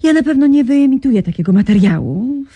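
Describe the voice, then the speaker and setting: A cool ironic reporter, mellow tone, dry radio-play sound. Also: female voice, sits high, lively intonation, a little fast, slightly rough, no room sound. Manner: probing, ironic, self-assured. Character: persistent female investigative journalist, shrewd and cool. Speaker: journalist. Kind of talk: 1990s radio drama acting.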